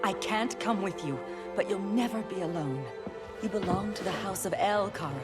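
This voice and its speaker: Woman playing resolute alien mother, middle-pitched, urgent yet loving, solemn, cinematic game drama. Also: normal speed, loud music behind the voice, lightly acted. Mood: solemn, tender. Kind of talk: dramatic video game acting.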